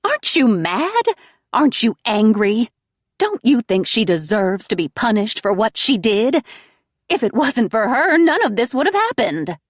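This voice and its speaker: A woman voicing a manipulative, self-pitying middle-aged mother who speaks in a crooning, wheedling way, raised in pitch and volume above her narration. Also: very animated, a little slow, no echo, clean studio sound.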